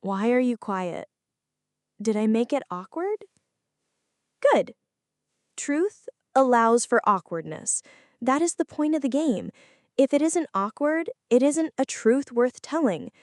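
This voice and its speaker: A woman playing a flirty, anxious female friend in an intimate ASMR roleplay, speaking softly and dramatically, close to the listener. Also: a little high, lively intonation, slow, pauses often, no echo. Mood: teasing, flirty. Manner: soft, close, dramatic pleading and confiding.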